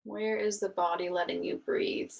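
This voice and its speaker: Woman guiding meditation, creaky voice. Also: on the low side, moderate pace, highs cut off. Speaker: meditation and yoga instructor. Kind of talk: guided meditation, gentle spoken instruction.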